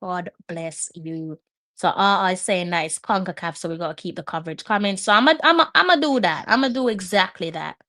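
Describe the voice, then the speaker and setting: A woman's voice: on the low side, lively intonation, brisk, sharp tone, no room sound, studio quality. A woman hosting a live match watch-along, chatting and musing aloud as she reads up on players. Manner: chatty, muses aloud while reading up on players. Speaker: host.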